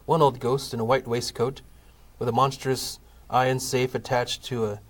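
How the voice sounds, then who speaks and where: A man narrating a scripted comic audio play in a measured, formal storytelling manner. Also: medium pitch, little pitch movement.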